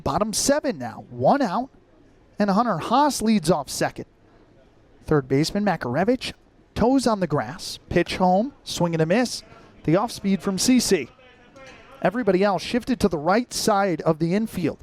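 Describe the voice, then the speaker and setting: A man's voice: high-pitched, very animated, measured pace. A young American man calling baseball live as a radio play-by-play announcer, in clipped, descriptive phrases. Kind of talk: live sports commentary.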